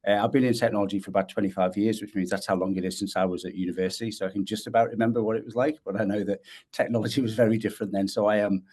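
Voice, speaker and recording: A man in his forties with a low voice talks quickly and eagerly as a judge on a video call. He comes across as brisk and keen. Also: steady tone, a little mellow, breathy edge. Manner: brisk and enthusiastic. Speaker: competition judge, tech team lead. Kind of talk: casual introduction on a video call.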